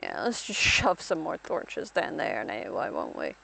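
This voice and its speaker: A woman's voice: very deep voice, huge pitch swings, moderate pace, creaky, pushed and bright, husky, poor audio. A young woman from Ireland rambling through casual gameplay commentary as a streamer, self-deprecating and talking to herself.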